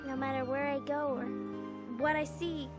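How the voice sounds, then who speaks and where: A woman voicing a gentle, wistful teenage island girl in a video game cutscene. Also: sits high, level tone, moderate pace, close to an everyday voice. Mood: wistful, hopeful. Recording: loud music under the voice.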